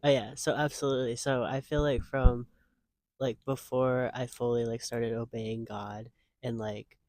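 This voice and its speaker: A man's voice: medium pitch, little pitch movement, measured pace, darkish tone, dry recording. A man of twenty-five answering interview questions in a casual, earnest way, rambling with lots of "like" fillers. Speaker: guest.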